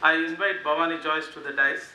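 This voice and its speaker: A man's voice: on the high side, fairly even intonation, fairly quick. A man, reading out a formal speaker introduction as conference moderator. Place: conference hall. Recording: echoey hall, faint hiss.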